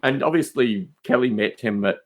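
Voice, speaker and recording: A man's voice: mid-range voice, moderate pace, slightly rough, highs cut off. A man chatting casually as a podcast guest, with an emphatic way of talking. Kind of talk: casual conversation.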